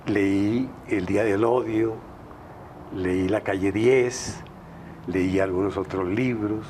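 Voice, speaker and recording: A man's voice: fairly low pitch, darkish tone, a little hiss or hum. A man, a novelist, speaking emphatically on a studio panel, stacking words in rhythmic series. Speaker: novelist guest.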